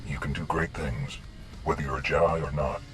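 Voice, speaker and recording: Space fantasy commander, extremely low pitch, calm, authoritative, game dialogue, music underneath. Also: male voice, brisk. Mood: reassuring. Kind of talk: video game voice acting.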